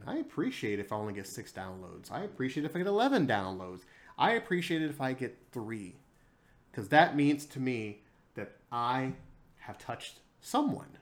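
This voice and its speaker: A man hosting his own podcast, speaking to his listeners in a passionate solo monologue. He keeps repeating and stressing his phrases, with big swings in pitch and loudness, and he records on a single mic plugged into a laptop. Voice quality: self-described monotone voice. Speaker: podcast host.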